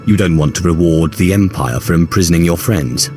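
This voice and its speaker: An actor playing a cold, menacing, haughty sorcerer in a video game, terse and imperious. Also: male voice, low-pitched, brisk, darkish tone, soft background music, natural voice. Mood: scornful.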